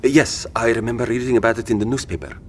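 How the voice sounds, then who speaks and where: An actor playing a kindly, flustered civilian man in an audio drama, with a hesitant, gentle, wordy manner. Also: mid-range voice, fast talker, a little hiss or hum, lightly acted. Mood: hesitant, apologetic. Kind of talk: scripted audio drama acting.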